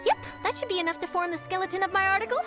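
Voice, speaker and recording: A woman playing an eager young journalist chasing a scoop, brisk, upbeat and theatrical. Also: very high-pitched. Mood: excited. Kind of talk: video game voice acting. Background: game score music.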